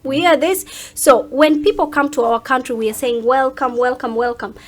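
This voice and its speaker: A woman's voice: high voice, moderate pace. A woman with a Ugandan accent, talking in an outspoken, emphatic way as a guest in a casual interview. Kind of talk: animated casual interview talk.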